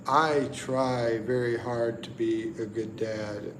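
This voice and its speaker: Slow easygoing man, echoey room. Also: medium pitch. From the Carolinas, USA. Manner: slow, easygoing, halting with frequent ums and restarts. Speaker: livestream host. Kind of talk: casual livestream chat, reading viewer comments. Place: indoors at home in the Philippines at night. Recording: echoey room with steady background noise.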